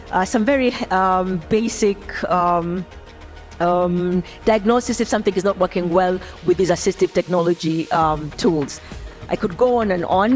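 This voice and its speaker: A woman from Uganda, speaking as a panelist in a thoughtful, unhurried way with many ums and uhs. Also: medium pitch. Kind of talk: panel discussion answer.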